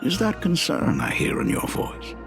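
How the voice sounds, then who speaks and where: A man voicing a gentle, wistful academy dean and father, speaking formally in a dramatic video game style. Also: mid-range voice, wildly swooping pitch, creaky. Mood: gently teasing. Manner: measured, formal, ceremonious phrasing. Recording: music under the voice.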